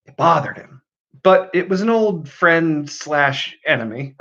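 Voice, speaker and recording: A man reading a novel aloud as an expressive narrator, with deliberate, dramatic pauses and emphasis. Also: on the high side, muffled recording.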